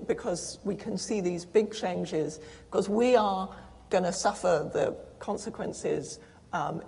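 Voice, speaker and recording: A woman's voice: lowish voice, vocal fry, a little mellow, husky. A woman speaking as a panelist. She explains earnestly, with fillers and restarts. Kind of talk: panel discussion answer. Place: conference hall. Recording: long hall reverb.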